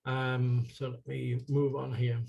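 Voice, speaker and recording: An English man in his sixties, his accent now carrying a faint American tinge after decades abroad. He speaks at a measured pace over a video call mic, often pausing on ums and repeating words as he gathers his thoughts. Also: mid-range voice, monotone, gravelly, highs cut off. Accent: English with a slight American tinge. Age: 60s. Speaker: guest speaker, sustainability consultant. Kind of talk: online presentation talk.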